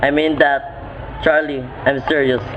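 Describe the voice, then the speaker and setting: Through tinny, noisy audio, a man acts an earnest chemistry professor in a radio play. He lectures deliberately and emphatically, in a fairly high, very even voice. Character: earnest male chemistry professor, wistful and serious. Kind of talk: amateur radio play acting.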